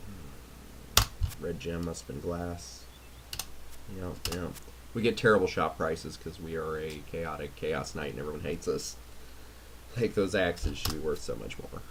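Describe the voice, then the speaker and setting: Low-voiced man, noisy gameplay recording. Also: measured pace. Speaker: let's play host. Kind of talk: casual gameplay commentary. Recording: noisy mic with keyboard clicks.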